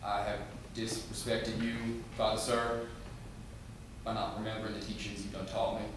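A man's voice: fairly low pitch, monotone, slow pace. A man playing a frightened, pleading eleven-year-old boy in a theatrical monologue, stammering meekly and deferentially. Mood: pleading, contrite. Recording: very echoey room, distant mic, faint noise.

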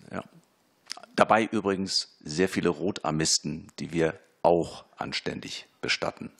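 A man with a very low, gravelly voice testifies before a committee. He speaks formally and with measured care, often pausing on fillers and restarting sentences. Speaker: expert witness at a parliamentary committee.

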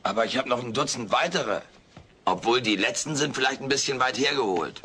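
A man playing a cheeky furry alien in a sitcom audio play, raspy and glib, sarcastically dodging blame. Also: mid-range voice, lively intonation, a little fast, background noise, natural voice. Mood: brazenly evasive. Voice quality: raspy, gravelly. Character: cheeky, wisecracking furry alien houseguest, male.

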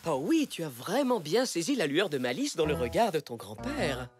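Lively, encouraging zebra art teacher. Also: male voice, on the high side, moderate pace. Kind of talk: French children's cartoon acting.

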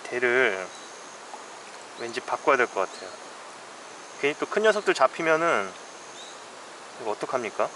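A man's voice: mid-range voice, big pitch swings, normal speed, pauses often, bright, forceful tone, rough recording. A man fly fishing alone on a mountain stream, talking to himself in a casual, wry, weary way as he films with a head-mounted camera. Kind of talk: casual first-person fishing narration. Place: outdoors by a shallow mountain stream. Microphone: head-mounted action camera. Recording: outdoor stream noise behind.

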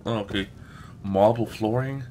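A man's voice: on the low side, mellow, a little hiss or hum. A man giving casual, exclamatory gameplay commentary with frequent swearing as a let's play host. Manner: exclamatory, often swearing, drawn-out words.

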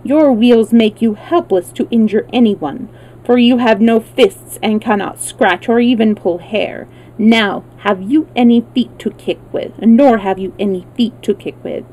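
A blunt mechanical man from a children's storybook, read by a woman. He speaks in a measured, matter-of-fact way without emotion, in a mellow tone. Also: a little high, rough recording. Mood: flat, frank. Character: unemotional, blunt, male mechanical man, wound-up machine.

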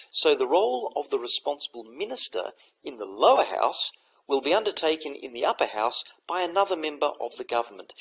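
A man giving a recorded lesson, explaining in a measured, methodical way. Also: mid-range voice, gravelly, a bit bright, breathy edge, low-quality recording. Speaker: teacher. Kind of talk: recorded lecture over slides.

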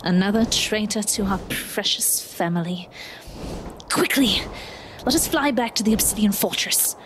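A woman's voice: mid-range voice, animated, normal speed, background noise, close to an everyday voice. A woman playing a fierce, vengeful swordswoman villain with a commanding, scornful manner in a fantasy audio drama. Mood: bitter, scornful.

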